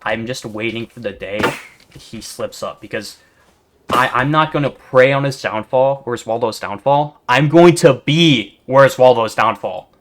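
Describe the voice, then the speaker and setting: A man giving rambling, monotone commentary over gameplay, full of fillers and restarts, as a gaming video creator. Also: mid-range voice, a little slow. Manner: rambling, self-described yapper with many fillers and restarts. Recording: steady background noise.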